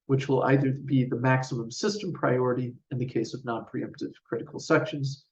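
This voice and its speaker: A man giving a scripted technical conference presentation in a measured, formal, explanatory way. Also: medium pitch, a little mellow. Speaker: researcher presenting a paper. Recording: roomy, distant mic, dull sound.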